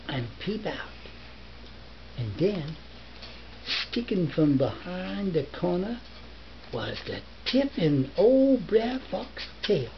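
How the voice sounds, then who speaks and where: An older man in his seventies reads a folk tale in a put-on American Southern dialect, halting now and then like a grandfather at story time. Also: high-pitched, creaky. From northern United States. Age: older, 70s. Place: book-lined living room. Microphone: camera a short distance away. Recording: muffled home camera recording with hiss.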